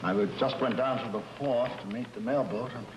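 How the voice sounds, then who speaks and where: Dignified betrayed husband, a judge played by a man: mid-pitched, measured, restrained, vintage drama with clear noise. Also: steady tone, close to an everyday voice. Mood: polite, subdued. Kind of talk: old-time drama acting. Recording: noisy old drama recording.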